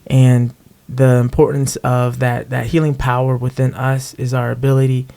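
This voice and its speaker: A man's voice: mid-range voice, monotone, normal speed, creaky, warm tone. A man hosting a podcast in a casual, conversational way. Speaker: podcast host, music artist.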